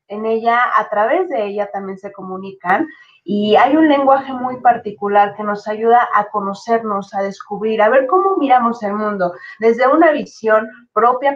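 A young woman with a Mexican Spanish accent hosts a live show from home on a video call. She speaks with enthusiasm and a slightly high voice. The audio is dull and lo-fi. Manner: enthusiastic, list-like phrasing. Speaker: radio host.

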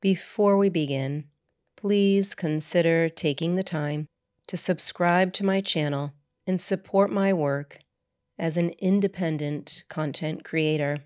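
Very slow, low-voiced woman guiding meditation. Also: darkish tone. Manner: soothing, measured, deliberate phrasing with pauses. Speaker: yoga nidra teacher and channel host. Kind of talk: guided meditation narration.

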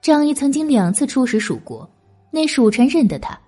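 Lady reading an audiobook novel, soft mellow husky voice, really lively intonation. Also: high voice, normal speed, faint music behind. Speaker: audiobook narrator. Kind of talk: scripted novel narration.

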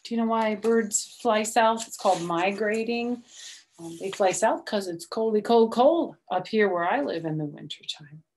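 A middle-aged woman reads a children's picture book aloud slowly and expressively, adding chatty, simple explanations for young children. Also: mid-range voice, darkish tone, slight background noise. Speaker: storytime reader. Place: small room at home, indoors.

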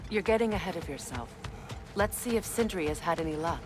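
Game companion: woman playing practical, level-headed ally, rapid delivery, music behind. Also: fairly low pitch, animated, natural voice. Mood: brisk, practical. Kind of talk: video game character acting.

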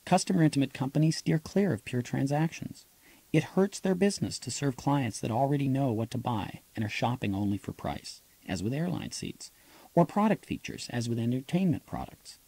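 A man reading his own nonfiction audiobook as a scripted narration, in a measured, explanatory manner. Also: mid-range voice, animated, creaky, dark tone, husky, no room sound, poor audio.

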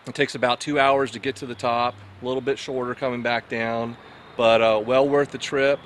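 A man in his fifties from Florida with an American accent, talking casually and haltingly to camera, tired after a hike. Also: mid-range voice, a bit bright. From Florida, United States. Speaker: outdoor vlogger. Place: outdoors at a forest trailhead. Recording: outdoor camera audio with ambient noise.

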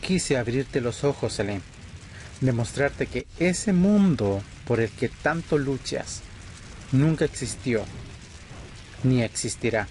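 A man's voice: mid-range voice, lively intonation, slow pace, darkish tone. A threatening, embittered vampire acted by a man speaks with dramatic deliberation. It sounds like an audio drama, with faint noise behind the voice. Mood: bitter, insistent. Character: cold, threatening male vampire, embittered former friend. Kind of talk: amateur Spanish audio roleplay drama.

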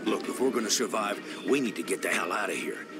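A man's voice: lowish voice, fast talker, music in the background, natural voice. An actor playing a pragmatic, team-minded male survivor in a video game, informal and insistent. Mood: frustrated, insistent. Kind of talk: video game cutscene acting.

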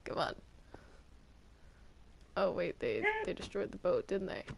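Creaky voice, lower-register, casual game roleplay, hesitant stammering, repeated words. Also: lively intonation, unhurried. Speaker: player in a group game roleplay. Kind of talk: casual improvised Minecraft roleplay chat. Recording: noisy home game recording with clicks.

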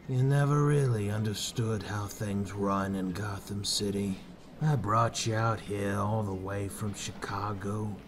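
A man voicing a bitter, menacing older corrupt police boss in a comic fan dub, condescending and drawn-out. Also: lowish voice, fairly even intonation, background noise, natural voice. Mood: sneering.

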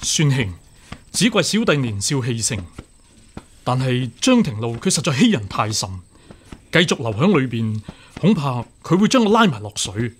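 An actor with a Hong Kong Cantonese accent playing a hot-headed, righteous young court official, earnest and impassioned, in a radio drama. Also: male voice, medium pitch, very animated, normal speed, breathy edge. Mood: agitated, earnest.

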